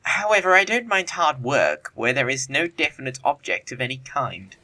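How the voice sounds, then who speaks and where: An actor playing a witty, idle young dandy with an arch manner, in a comedy audio play. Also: male voice, medium pitch, big pitch swings, moderate pace, noisy background, lightly acted. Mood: flippant.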